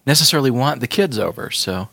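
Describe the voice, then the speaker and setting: A man's voice: medium pitch, expressive, quick pace, creaky, fairly bright tone, no room sound. A man co-hosting a podcast, tossing in dry joking asides. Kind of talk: casual podcast chat.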